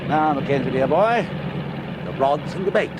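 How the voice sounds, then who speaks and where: A man's voice: fairly high pitch, expressive, normal speed, close to an everyday voice. An actor playing a smug middle-aged male swindler who talks down to his partner as "dear boy". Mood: commanding. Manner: patronizing, says "dear boy". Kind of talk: 1970s radio drama acting. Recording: radio play with water noise.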